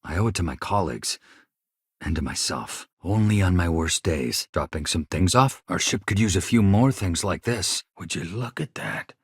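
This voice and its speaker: A low-voiced, earnest ship's engineer. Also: male voice, lively intonation, normal speed, husky, dry recording, studio quality, full character voice. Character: earnest, weary male chief engineer, haunted survivor of a disaster. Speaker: starship crew engineer. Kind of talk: video game character dialogue.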